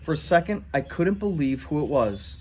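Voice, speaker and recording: A man reading a story aloud in an animated way. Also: fairly high pitch, moderate pace, slightly rough. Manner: animated, acts out dialogue in shouts. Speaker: reader. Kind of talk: read-aloud story narration.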